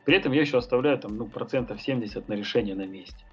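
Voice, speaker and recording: A man's voice: medium pitch, fast, lo-fi sound, soft background music. A man talking as a podcast guest, thinking aloud about his tattoo and painting work, rambling with many fillers and hesitations. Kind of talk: casual podcast conversation.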